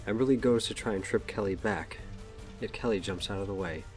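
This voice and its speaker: A man's voice: lowish voice, a little fast, faint music behind. A man narrating a scripted audio drama, describing the action as the story's narrator.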